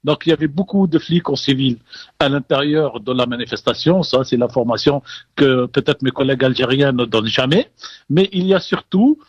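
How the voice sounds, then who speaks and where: A man's voice: medium pitch, fairly quick, slightly rough, no room sound, highs cut off. A man with a Kabyle Algerian accent, reporting live as a radio correspondent from abroad in a rambling, repetitive way. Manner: digressive, repeats words, coughs.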